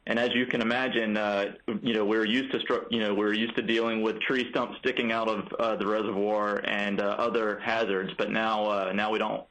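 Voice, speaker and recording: Lowish-voiced American man, halting interview answers. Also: flat delivery, a bit bright, rough recording. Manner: halting, measured, with repeated false starts. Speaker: agency spokesman, interview guest. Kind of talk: live news interview answers. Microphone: phone interview.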